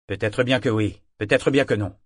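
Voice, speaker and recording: Un homme faisant un gaillard de taverne bourru. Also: male voice, on the low side, moderate pace, no echo, studio quality, lightly acted. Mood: gruff. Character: gruff booted tavern man, blunt and scolding.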